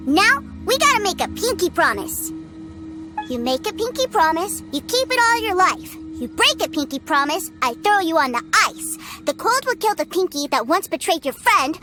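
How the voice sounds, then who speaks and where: A woman voicing an innocent, cheerful, trusting little boy in a video game, earnest and wide-eyed. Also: extremely high pitch. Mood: earnest, playful. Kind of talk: animated video game character acting. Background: background game music.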